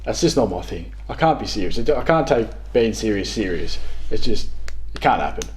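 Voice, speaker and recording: A man's voice: fairly low pitch, big pitch swings, moderate pace, a little hiss or hum. A man in his thirties with an Australian accent, chatting casually as an interview guest. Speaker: guest, game reviewer. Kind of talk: casual chat about video games. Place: small living room, on a couch.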